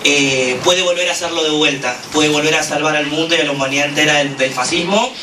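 A man's voice: fairly high pitch, fast talker. Fervent Argentine man, echoey and distant. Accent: Argentine Spanish. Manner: fervent, with frequent "eh" fillers. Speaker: activist speaker at a political gathering. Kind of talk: impassioned political speech. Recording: echoey room, from a distance, noisy.

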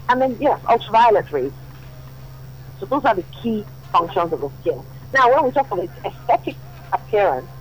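A woman, a dermatologist guest giving measured, explanatory answers on a remote line. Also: mid-range voice, slight background noise, poor audio. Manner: measured, explanatory, uses you know often.

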